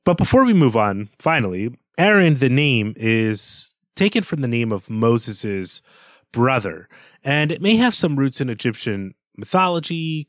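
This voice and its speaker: A man's voice: mid-range voice, dry recording, studio quality. A man co-hosting a podcast, talking through literary analysis in a studious, explanatory way. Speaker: podcast co-host.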